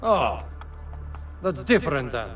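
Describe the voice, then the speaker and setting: An actor playing a menacing, smugly mocking debt collector in villainous video game dialogue. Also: male voice, a little high, sing-song, takes it slowly, fairly bright tone, far from the mic, soft background music, exaggerated voice. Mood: mocking menace. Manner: smugly threatening.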